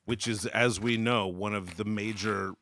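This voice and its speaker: A man's voice: fairly low pitch, fairly even intonation, unhurried, fairly bright tone, a little hiss or hum. A man bantering casually as a stream co-host, joking and rambling in a mock-earnest way.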